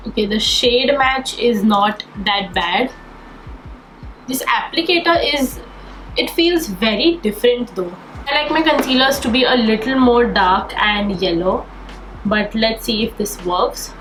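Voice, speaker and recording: A woman's voice: a little high, expressive, unhurried, fairly bright tone. A young woman with a slightly nasal voice from a cold, chatting enthusiastically through a makeup tutorial. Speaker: beauty vlogger. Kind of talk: casual get-ready-with-me makeup tutorial. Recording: echoey room with faint background noise.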